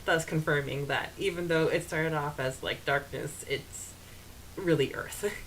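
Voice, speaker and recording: A woman co-hosting a podcast in casual, halting, rambling conversation full of fillers. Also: deep voice, steady tone, a bit bright, slight background noise, poor audio. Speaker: podcast co-host.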